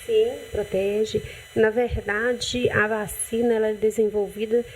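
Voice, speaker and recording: A woman doctor with a Brazilian accent, calmly explaining health matters in a TV interview, often restarting her sentences. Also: medium pitch, a little slow, husky, poor audio. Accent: Brazilian Portuguese. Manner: calm, explanatory, with frequent restarts and "né". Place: TV studio.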